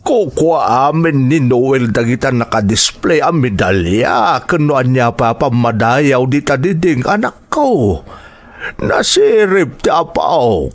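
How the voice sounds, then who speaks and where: A man playing a kindly old grandfather in an audio drama, talking in an unhurried, expressive way. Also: mid-range voice, no room sound. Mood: kindly, proud.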